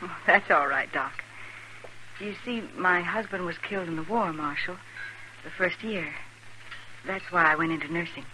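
An actress playing a polite, reserved widowed nurse in a 1950s radio western. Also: female voice, fairly low pitch, moderate pace, long pauses, sharp tone. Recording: noisy old radio broadcast recording.

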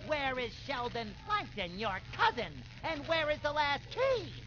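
A man's voice: extremely high pitch, very animated, unhurried, lo-fi sound, heavily acted. A man voicing an eager, boyish, heroic sea sponge in a cartoon video game, chirpy, earnest and melodramatic. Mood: mock threatening. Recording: clear game noise behind the voice.

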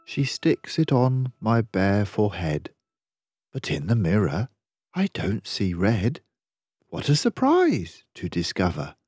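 Slow-paced older man reading to children. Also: on the low side, huge pitch swings, darkish tone, breathy edge, dry recording. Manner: measured, deliberate, rhyming delivery. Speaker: storyteller reading a children's picture book. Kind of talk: expressive scripted read-aloud for children.

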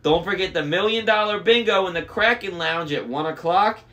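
A man's voice: high voice, normal speed, pushed and bright, voice pushed up. A man voicing an overly cheerful male cruise ship announcer in a storybook, with a chirpy delivery.

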